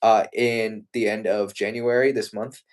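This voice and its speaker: A man's voice: on the low side, little pitch movement, unhurried, darkish tone. A young man from the United States, a martial arts competitor chatting casually and earnestly, often restarting his sentences. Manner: earnest, thoughtful, with frequent restarts. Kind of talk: casual live-stream chat.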